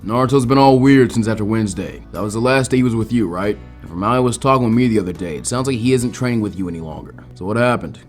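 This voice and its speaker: A man voicing a cool, casual adult ninja teacher who teases gently, in an audiobook story. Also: lowish voice, fast, hardly any pauses, faint music behind, close to an everyday voice. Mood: expectant. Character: laid-back bearded adult male ninja teacher. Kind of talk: fan fiction audiobook character voice.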